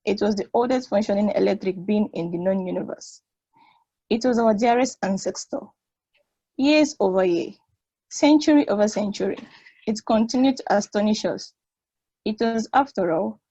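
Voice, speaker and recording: Actress as solemn female eulogist, husky, measured stage delivery, dry lo-fi recording. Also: mid-range voice, warm tone, no room sound, lightly acted. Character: solemn woman eulogist mourning a beloved ancient relic. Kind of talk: solemn eulogy in play table read.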